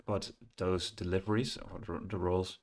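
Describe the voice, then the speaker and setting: A middle-aged man thinking aloud as he presents a coding screencast, halting and muttering to himself at a computer. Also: deep voice, little pitch movement, creaky, darkish tone, slight background noise. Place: small room indoors at home, at a computer. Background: keyboard typing and clicking.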